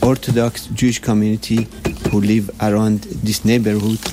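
A man from Iran with an Iranian accent, a shop owner speaking haltingly about his faith and daily life. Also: lowish voice, little pitch movement, noisy background. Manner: halting, searching for words. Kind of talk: interview, personal reflection. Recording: music bed under voice.

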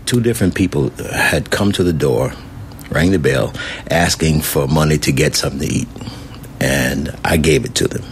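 A man, likely a pastor, telling an animated anecdote in an interview. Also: very deep voice, moderate pace, a little hiss or hum. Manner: animated storytelling.

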